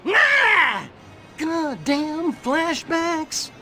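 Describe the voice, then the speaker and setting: A man voicing a grandiose, excitable hippie cult guru in a video game, preachy and theatrical. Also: extremely high pitch, extremely slow, faint music behind, exaggerated voice. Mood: startled, angry. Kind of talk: video game cutscene voice acting.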